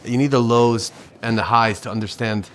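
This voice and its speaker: Man in his forties, mid pitch, halting, repeats himself, reflective interview guest. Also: steady tone, fairly bright tone, a little hiss or hum. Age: 40s. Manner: halting, repeats words, philosophical. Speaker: restaurateur guest.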